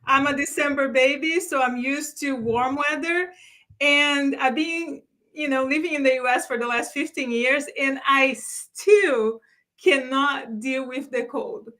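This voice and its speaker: A woman from Brazil speaks as a guest on a career interview. Her voice is high, and she tells her story earnestly and reflectively, restarting her sentences as she goes. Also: steady tone, measured pace, sharp tone. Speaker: guest expert, career strategist. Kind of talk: conversational interview answers.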